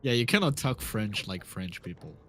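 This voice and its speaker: A man co-commentating a stream race, relaxed and quick to laugh, grumbling playfully at the game. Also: fairly low pitch.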